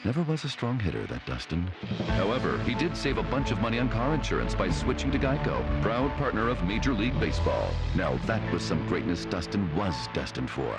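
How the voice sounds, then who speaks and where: A man's voice: lowish voice, big pitch swings, a little fast, rough recording. A man narrating a humorous scripted story ad in a mock-serious manner. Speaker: commercial narrator. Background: loud music.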